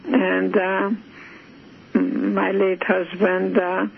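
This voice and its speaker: An older woman whose first language is Hungarian talks with gravelly fry in her voice. She speaks slowly and hesitantly, often pausing and restarting. The sound is a thin, tinny phone line with a faint hiss behind her. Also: mid-range voice, completely flat delivery. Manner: slow, hesitant, with many fillers and restarts. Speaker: guest. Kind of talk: phone interview.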